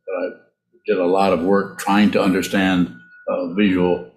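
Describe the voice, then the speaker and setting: An older man speaks in a low voice, as a Buddhist teacher would in an informal talk. He is reflective and halting, often repeating a word as he goes. The sound is a roomy, echoey video call. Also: little pitch movement. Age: older, around 70s. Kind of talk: informal dharma talk and answering questions. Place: small indoor room, on a video call.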